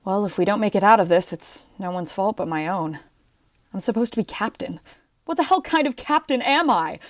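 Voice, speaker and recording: A jaded, self-blaming submarine captain and navy veteran played by a woman in an amateur audio drama. She speaks at a middle pitch with a bitter edge, recorded very dry. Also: lively intonation, moderate pace, no room sound, close to an everyday voice.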